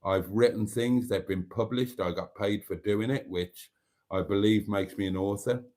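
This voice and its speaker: A man's voice: lowish voice, normal speed, a little mellow. A Welsh man, a native Welsh speaker, hosting a live stream and musing in a meandering way, with many fillers and restarts. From Wales. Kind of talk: casual live stream chat.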